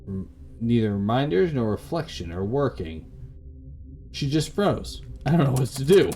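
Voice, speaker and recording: A man's voice: mid-range voice, very animated, normal speed, warm tone, faint music behind. A man voicing a worried grown daughter, anxious and hesitant, caring for her failing mother in a visual novel. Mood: anxious, tired.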